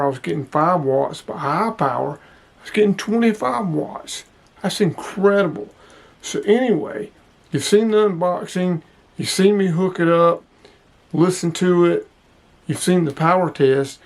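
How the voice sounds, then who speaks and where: Higher-voiced man reviewing gear. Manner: plain-spoken, informal. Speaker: host, product reviewer. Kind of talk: casual hands-on product review.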